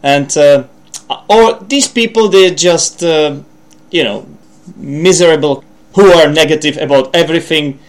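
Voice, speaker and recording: A man with a fairly high voice and a Czech accent presents an informal English lesson for a podcast. He talks in a rambling way, corrects himself as he goes, and slips in asides and little questions to the listener. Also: unhurried. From Czech Republic. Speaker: language podcast host and teacher.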